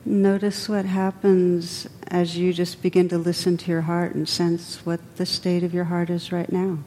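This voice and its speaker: Woman guiding meditation, lowish mellow voice, gentle and unhurried with pauses, little pitch movement. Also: level tone, slight background noise. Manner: gentle, unhurried, pausing between phrases. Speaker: meditation teacher. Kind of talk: guided meditation.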